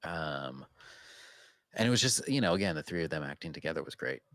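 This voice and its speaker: A man's voice: rumbling low, animated, fairly quick, a bit bright, no echo, studio quality. A man co-hosting a podcast in casual, unscripted chat about what he watched, rambling and trailing off as he goes. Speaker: podcast co-host.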